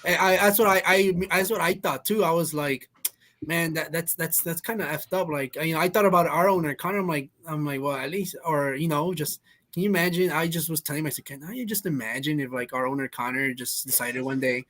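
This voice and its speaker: A young man chatting as a podcast guest, his voice sitting a bit high for a man. He stumbles and restarts his sentences, filling gaps with fillers as he talks about playing. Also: a bit bright. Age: 20s. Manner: halting, many restarts and fillers. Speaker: guest, soccer player. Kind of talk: casual podcast chat.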